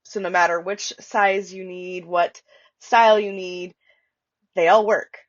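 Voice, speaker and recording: A woman's voice: on the low side, steady tone, a little slow, no echo, dull audio. A woman with a stuffy, congested voice hosting a casual crochet tutorial at home, chatty and full of fillers. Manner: chatty, lots of fillers and restarts. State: stuffy, nasal from a cold. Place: home with dogs walking around. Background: dog nails clicking on the floor.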